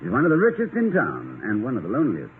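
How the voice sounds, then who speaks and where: An actor playing a dignified, bashful middle-aged college president in a 1950s radio comedy, with cultured diction and a hesitant manner. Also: male voice, on the low side, wildly swooping pitch, fast talker, faint music behind. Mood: genteel, wry. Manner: hesitant, slightly fussy. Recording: tinny, noisy 1950 radio recording.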